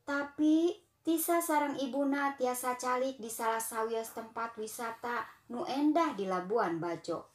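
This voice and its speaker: A young woman with a Sundanese accent, reading a children's story in a gentle, unhurried way. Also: a little high, echoey room. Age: young adult.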